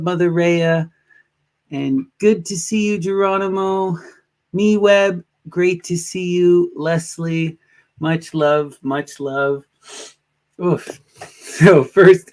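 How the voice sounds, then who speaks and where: A man with a fairly high voice hosts a live tarot session, speaking very slowly and gently. His words drift off softly at the ends of phrases, and he sounds a little sniffly from allergies. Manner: slow, gentle, drawn-out delivery with soft trailing ends. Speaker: tarot reader and live stream host. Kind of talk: casual live-stream tarot talk. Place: home in a wooded area.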